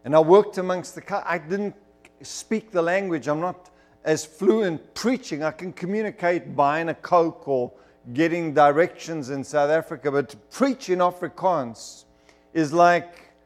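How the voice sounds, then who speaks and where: A man's voice: fairly high pitch, a little slow, faint music behind. A middle-aged man from Zimbabwe, preaching a sermon as emphatic storytelling with bursts of fervor. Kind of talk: sermon testimony to a congregation.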